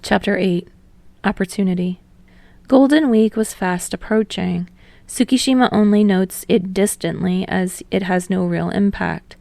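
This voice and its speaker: A woman's voice: medium pitch, unhurried, creaky, dark tone, no echo. A woman reading fiction aloud as a scripted audiobook narrator.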